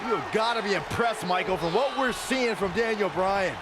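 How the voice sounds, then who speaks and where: A man voicing an earnest wrestling commentator in a video game, sympathetic to the underdog and quick to protest. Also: sits high, lively intonation, crowd noise. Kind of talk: sports commentary. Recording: loud background noise of an arena.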